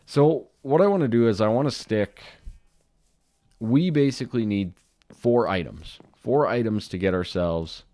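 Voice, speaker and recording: A man's voice: fairly low pitch, unhurried, no room sound. A young man with a slightly dry throat, chatting casually to viewers while he plays through a modded Minecraft series. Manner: relaxed, chatty, talks to viewers while playing. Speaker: gaming video host. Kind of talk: casual Minecraft modpack commentary.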